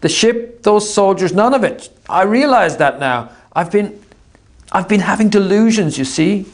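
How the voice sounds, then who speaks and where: A man voicing a calm, formal young genius in an audiobook, speaking with odd serene certainty. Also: high voice, measured pace, pitched above the performer's own voice. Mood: serenely deluded.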